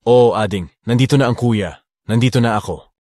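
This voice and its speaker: A woman voicing a composed, gentle young man, an affectionate and courteous big brother in an audio story. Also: extremely low pitch, a little fast, no echo, studio quality, pitched below the performer's own voice. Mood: tender. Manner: calm, tender, courteous. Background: music.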